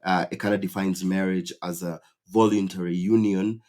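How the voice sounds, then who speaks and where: A man's voice: low voice, little pitch movement, normal speed, a little mellow. A man from Kenya hosting his channel, explaining in a casual, rambling way with many fillers and restarts. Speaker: YouTube channel host. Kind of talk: casual explainer talk to camera.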